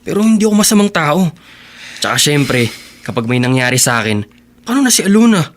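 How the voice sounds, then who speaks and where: A Filipino actor playing a devoted, proud teenage runaway husband in a radio drama, speaking earnestly. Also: male voice, fairly high pitch, sing-song, fairly quick. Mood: earnest, resolute. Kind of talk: Tagalog radio drama acting.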